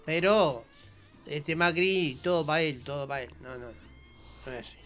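A man's voice: a little high, normal speed, a bit bright, soft background music. A man with a Rioplatense Argentine accent chatting casually on a radio show in simple short phrases, often repeating them. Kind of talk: casual chat.

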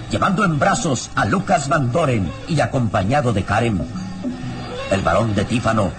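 A man's voice: mid-range voice, lightly acted. A male actor narrating a radio serial as a solemn, suspenseful storyteller with declamatory phrasing. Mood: dramatic. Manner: declamatory, ornate, deliberate phrasing.

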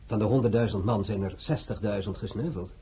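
Sly, husky, low-voiced schemer in a radio play, oily politeness. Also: male voice, fairly quick, warm tone. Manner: smooth, ingratiating, mocking courtesy. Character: sly, scheming male police minister, middle-aged.

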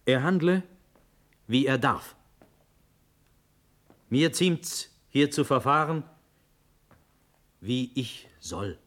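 An actor playing a proud, honour-bound young prince in a classical verse radio drama, with solemn, declamatory delivery that moves between hushed and forceful. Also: male voice, mid-range voice, expressive, very slow, long pauses. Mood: proud, impassioned.

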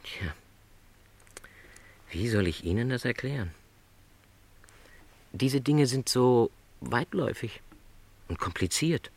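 A man's voice: mid-range voice, expressive, slow pace, long pauses, slightly rough, exaggerated voice. An actor playing a lonely, contented travelling salesman who is no longer young, speaking modestly and politely in a radio play. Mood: pensive, resigned. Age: middle-aged.

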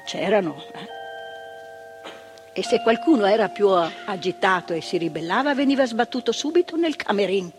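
An actress as an indignant old asylum worker. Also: female voice, medium pitch, expressive, moderate pace, over music, close to an everyday voice. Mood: indignant recollection. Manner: vivid storytelling, swinging from hushed to emphatic outbursts. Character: older woman, former asylum ward worker, indignant. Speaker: monologue performer. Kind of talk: stage monologue.

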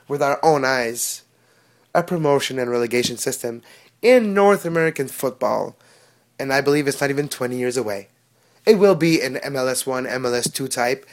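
A man hosting his own soccer podcast, talking casually with loose, phrasing. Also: medium pitch. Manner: casual, chatty, non-native phrasing with occasional French words. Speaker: podcast host. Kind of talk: solo soccer podcast monologue.

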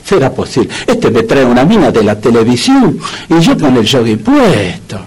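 An actor playing a whiny, capricious, easily flustered dog owner in a comedic radio play, with a Rioplatense Argentine accent and colloquial, exclamatory speech. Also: male voice, mid-range voice, big pitch swings, moderate pace, darkish tone, husky. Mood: anxious.

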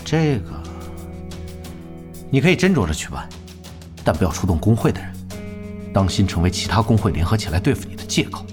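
An actor playing a proud, scheming young male pro gamer in an audio drama, speaking in a calculating, deliberate way. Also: fairly low pitch, sing-song, over music. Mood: cool, calculating.

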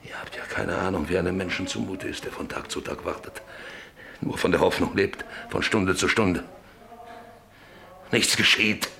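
An actor playing a bitter, bedridden middle-aged father in a radio drama, brooding and halting, repeating his phrases. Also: low-pitched, gravelly, sharp tone, exaggerated voice. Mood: anxious, despairing. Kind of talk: German radio drama acting. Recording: hissy old radio drama recording.

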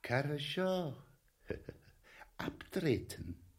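An actor playing a pompous, coarse Russian emperor, declaiming scornfully in a satirical radio play. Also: male voice, a little high, sing-song, drawn-out words, exaggerated voice. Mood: imperious, coarse.

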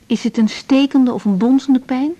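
A woman's voice: sits high, moderate pace, mellow. An actress playing a tired, caring young woman doctor in a naturalistic radio drama, plain and matter-of-fact. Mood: calm, reassuring. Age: young adult.

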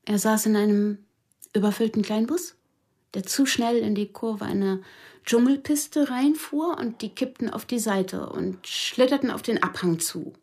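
An actress playing a woman who recounts a dramatic memory in a radio crime drama, in a husky voice. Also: on the high side, measured pace, a little mellow. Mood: absorbed, grave. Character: woman recounting a man's near-death crash story.

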